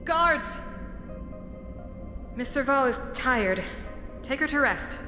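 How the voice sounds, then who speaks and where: A woman's voice: high-pitched, expressive, slow. An actress playing a stern, imperious middle-aged female ruler in a video game, formal and commanding. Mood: cold, stern. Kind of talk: video game story voice acting. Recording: echoey reverb with music behind.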